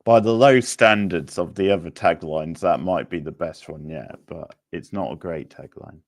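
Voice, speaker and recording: A man's voice: deep voice, moderate pace. A man casually hosting a quiz, chatting with participants and making dry, wry remarks. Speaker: quiz host.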